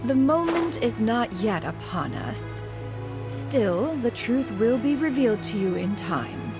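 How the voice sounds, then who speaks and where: A woman's voice: fairly high pitch, very animated, slow, music in the background. An actress voicing a proud, aloof immortal woman in a video game, speaking in formal, archaic, haughty phrases. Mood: composed.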